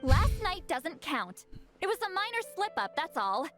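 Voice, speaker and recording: A woman's voice: very high voice, big pitch swings, measured pace, a bit bright, faint music behind, heavily acted. A woman voicing a proud, testy shaman in a video game, brusque and authoritative. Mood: defensive, huffy. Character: testy, proud female shaman, a heavy drinker in denial.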